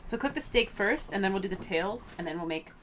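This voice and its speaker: A woman's voice: mid-range voice, animated, a little fast. A woman in her forties, a sailing vlogger, chatting playfully to a handheld camera in a small boat cabin. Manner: playful, jokey, upbeat. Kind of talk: casual chat to camera. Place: small sailboat cabin and galley, indoors. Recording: loud background noise.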